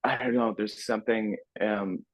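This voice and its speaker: A man's voice: lowish voice, steady tone, moderate pace, no echo, rough recording. A man chatting casually and informally as a film director in an interview. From Canada. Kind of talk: casual chat.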